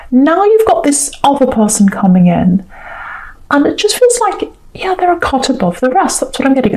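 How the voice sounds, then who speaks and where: A woman's voice: a little high, mellow, poor audio. A woman reading tarot cards off the cuff, speaking directly to the listener in a hesitant, reflective way and repeating phrases for emphasis. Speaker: tarot reader, host. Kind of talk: intuitive tarot reading, unscripted talk to the viewer.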